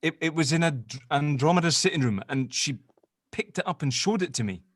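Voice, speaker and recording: A man's voice: on the high side, lively intonation, a little fast, no room sound. Man acting exasperated, earnest amateur sleuth, dry recording. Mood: realizing. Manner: exasperated, hesitant. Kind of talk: audio drama table read.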